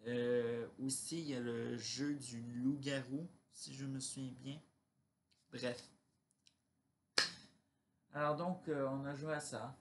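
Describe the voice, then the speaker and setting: Slow, halting man. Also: mid-range voice, fairly even intonation, noisy background. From Quebec. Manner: halting, searching for words, trailing off. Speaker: vlogger. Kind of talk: casual rambling vlog chat.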